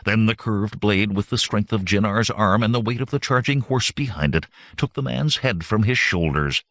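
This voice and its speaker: A man's voice: fairly low pitch, moderate pace, a bit bright, no echo. A man reading fantasy adventure fiction as a dramatic audiobook narrator.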